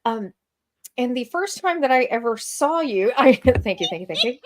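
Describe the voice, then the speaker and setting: A woman's voice: a little high, very animated, moderate pace. A woman co-hosting a casual chat, asking a guest questions in a rambling way. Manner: rambling, lots of fillers and restarts. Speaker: podcast co-host.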